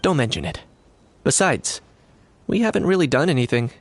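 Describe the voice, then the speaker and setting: A man voicing a cool, composed young mercenary in a video game, calm, dry and understated. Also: on the high side, moderate pace. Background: soft game music.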